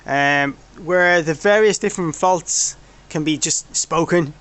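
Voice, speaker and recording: A man's voice: a little high, unhurried, fairly bright tone. A man giving an informal technical walkthrough as a product developer, rambling and correcting himself as he goes. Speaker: product developer presenting a demo.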